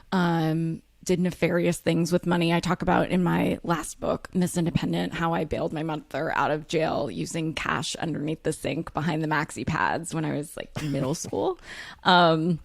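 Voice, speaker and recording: A woman, talking candidly as an interview guest, frank and self-deprecating with lots of fillers and quick laughs. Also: sits low, steady tone, vocal fry, no room sound, clean studio sound. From United States. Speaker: author and guest.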